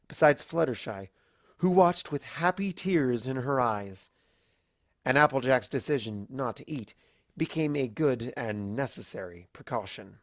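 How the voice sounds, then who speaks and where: A narrator reading a fan-fiction story aloud in an expressive storybook style. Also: medium pitch, no room sound, studio quality.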